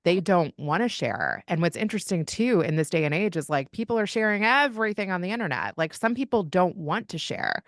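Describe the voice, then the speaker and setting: A woman's voice: lowish voice, big pitch swings, a little fast, few pauses, no room sound, clean studio sound. A woman from Kentucky, a podcast guest, telling family stories in an open, chatty way. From Kentucky, United States. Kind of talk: casual interview conversation.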